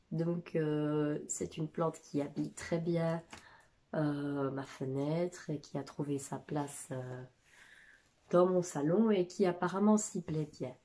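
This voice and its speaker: A woman's voice: deep voice, dark tone. Woman with a stuffy, nasal cold voice. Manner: hesitant, many filler sounds. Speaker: plant vlogger. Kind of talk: casual chat. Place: home living room.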